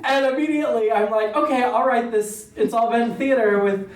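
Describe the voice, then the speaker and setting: A man's voice: very high-pitched, normal speed, far from the mic. Amused male interviewer in a roomy space. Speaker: podcast interviewer, show writer. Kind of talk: casual interview chat. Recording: echoey room, off mic, faint noise.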